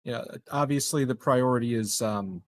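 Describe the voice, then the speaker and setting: A man's voice: mid-range voice, normal speed. A man chatting casually as a player during a tabletop roleplaying game.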